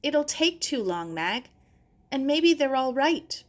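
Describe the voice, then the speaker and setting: A woman's voice: high voice, very animated, takes it slowly, voice pushed up, full character voice. A woman voicing an urgent, determined young English girl in a storybook reading. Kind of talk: acted children's audiobook dialogue.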